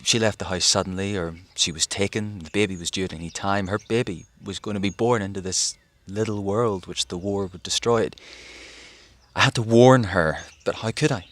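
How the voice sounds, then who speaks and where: Male actor as haunted fugitive, low-pitched man, hesitant rambling monologue, sighs and broken phrases, audio drama. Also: normal speed, a bit bright, no room sound, close to an everyday voice. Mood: wistful, searching. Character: haunted, rambling fugitive physicist, man living through a war. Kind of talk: audio drama monologue, spoken like a recorded diary.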